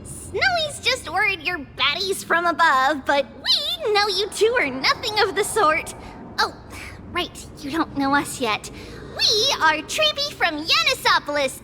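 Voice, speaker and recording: A woman's voice: extremely high pitch, big pitch swings, measured pace, noisy background, heavily acted. A woman voicing a bubbly, bossy young priestess in a game cutscene, cheerful and playful. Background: game music.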